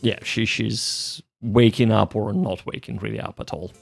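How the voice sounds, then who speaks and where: A man's voice: on the low side, moderate pace, creaky, slightly rough, faint music behind. A man doing casual live study-stream commentary, thinking aloud and translating hesitantly. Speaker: streamer, language learner.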